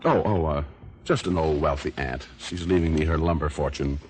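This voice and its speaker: An actor playing a flippant American private detective in 1940s radio style, with glib, deadpan quips. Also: male voice, rumbling low, normal speed. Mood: flippant, teasing.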